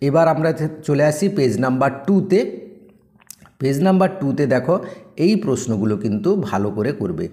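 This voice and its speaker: A man's voice: mid-range voice, animated, fairly quick, warm tone. A man with an Indian Bengali accent teaching exam tips in an informal, instructive way, repeating for emphasis and mixing in English terms. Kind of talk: informal exam-preparation tutorial. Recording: echoey room.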